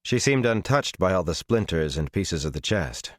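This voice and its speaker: A man reading a dramatic fantasy audiobook as its narrator, with a measured, intense and expressive delivery. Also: sits low, no room sound, studio quality.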